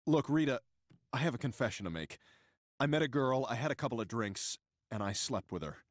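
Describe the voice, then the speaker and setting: A man's voice: medium pitch, lively intonation, brisk, dry recording, studio quality, close to an everyday voice. An actor voicing a wry, hesitant adult male talking dog in a cartoon. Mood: pleading.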